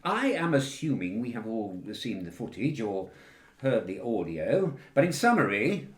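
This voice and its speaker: A man with a British accent playing a formal, dutiful government official delivering a precise, measured briefing in a satirical radio play. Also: medium pitch, sing-song, reverberant. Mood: measured, uneasy.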